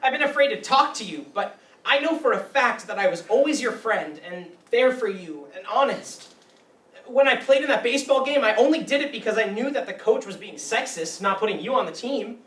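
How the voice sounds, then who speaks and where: A man's voice: very high-pitched, animated, brisk, a bit bright. Actor playing a bitter young man, roomy sound. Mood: hurt, confronting. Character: wounded, bitter young man, anxious and brooding. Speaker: actor in a staged reading. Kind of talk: live stage play reading. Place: echoey room, live staged reading. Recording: roomy, distant mic with faint noise.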